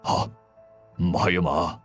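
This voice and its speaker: A man with a Hong Kong accent voicing a grave, probing older exorcist father in a measured, knowing manner for an audio ghost story. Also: low-pitched, level tone, a bit bright, husky, faint music behind, voice pushed down, full character voice.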